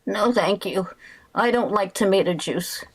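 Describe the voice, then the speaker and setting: A woman's voice: mid-range voice, lively intonation, a little slow, slightly rough, poor audio. A woman playing a frazzled, wry, weary housewife in 1940s radio comedy style, with dramatic exclamations. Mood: groggy, wry. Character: frazzled, stubborn young housewife knitting late, wry and weary.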